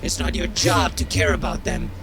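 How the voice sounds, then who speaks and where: An actor playing a blunt, mocking, foul-mouthed military sergeant briefing a new officer in a video game. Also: male voice, moderate pace, close to an everyday voice. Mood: cold, blunt. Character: gruff, foul-mouthed, callous male military medical sergeant. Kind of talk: game character dialogue.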